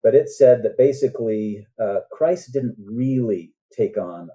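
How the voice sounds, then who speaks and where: A man's voice: on the low side, expressive, measured pace, warm tone, husky, dull audio. A man speaking as an author guest on a podcast, explaining things earnestly in a conversational way and often checking in with the listener.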